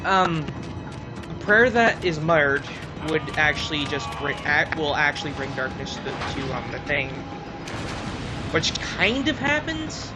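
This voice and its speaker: Halting higher-voiced man over loud game music. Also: very animated. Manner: halting, searching for words. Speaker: let's play co-host. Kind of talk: casual gameplay commentary.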